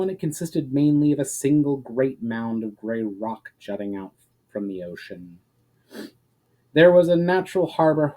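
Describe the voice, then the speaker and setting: A man with a dark, mellow voice of medium pitch reads a fantasy tale aloud. His narration is dramatic, with sentence endings that fall away softly. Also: rough recording. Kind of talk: dramatic reading of a fantasy story.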